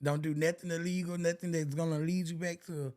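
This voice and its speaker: A man in his late twenties hosting a casual interview, playful and teasing, quick to laugh. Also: on the high side, level tone, a little mellow. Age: 20s. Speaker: podcast host asking questions.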